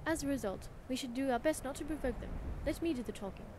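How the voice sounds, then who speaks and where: A woman's voice: high-pitched, fast, background noise, lightly acted. A woman playing a haughty, witty scholar-adventurer in a video game, speaking in a smug, articulate and wry way. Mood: smug, measured. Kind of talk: video game character dialogue.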